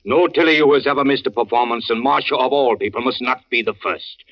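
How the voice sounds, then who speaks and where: An actor playing an anxious, grandiose old stage patriarch in a radio drama, fretful and declamatory. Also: male voice, mid-range voice, brisk, close to an everyday voice. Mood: impassioned. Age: older. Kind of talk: 1940s-50s radio drama acting. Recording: muffled old radio recording.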